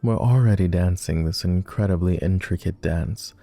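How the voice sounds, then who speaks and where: A man playing a low, dark-voiced melancholy vampire lord. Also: normal speed, no echo, soft background music. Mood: anguished. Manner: formal, old-fashioned wording, hesitant, tender. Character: clingy, melancholy male vampire lord, tender and lovestruck. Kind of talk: intimate boyfriend roleplay ASMR.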